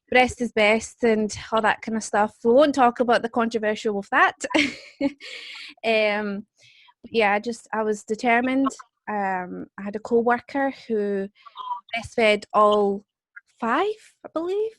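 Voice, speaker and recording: A woman's voice: mid-range voice, dry recording. Chatty young woman. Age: 20s. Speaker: host. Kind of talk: casual group interview.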